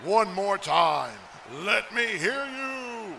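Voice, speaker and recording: A grandiose wrestling announcer for a web cartoon acted by a man. He stretches his phrases slowly with big pitch swings, over noisy ambience. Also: expressive, creaky, bright, forceful tone, full character voice. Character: showy male wrestling ring announcer, grandiose.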